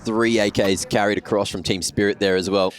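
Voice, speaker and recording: A man's voice: lowish voice, flat delivery, a little fast. A man calling esports play-by-play who turns playful when he riffs on jokes. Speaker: esports commentator.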